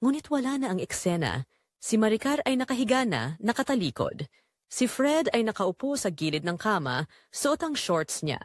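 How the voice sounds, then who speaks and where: A betrayed middle-aged wife and mother, acted by a woman, narrates her own story for an audio drama. Her pitch moves widely through short, deliberate phrases, solemn and quietly bitter. The sound is dry, with very little room in it. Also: very animated, no echo. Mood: numb, heartbroken.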